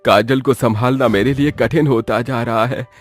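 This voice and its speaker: A man's voice: medium pitch, steady tone, moderate pace, soft background music, lightly acted. A man voicing a worried, middle-aged widowed father in a cartoon story, earnest and troubled. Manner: earnest, troubled, speaking firmly.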